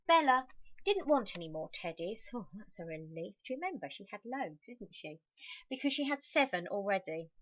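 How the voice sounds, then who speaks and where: A woman reading a children's story aloud expressively, stressing key words and slipping in soft, chatty asides to her young listeners. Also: on the high side, dry recording, dull audio.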